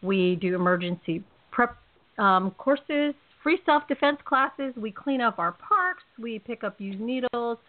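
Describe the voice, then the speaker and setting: A woman's voice: on the high side, animated, measured pace. A woman with a California accent hosting a casual radio show, chatty and rambling, laughing often. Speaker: host. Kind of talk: casual podcast chat. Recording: tinny phone-line audio.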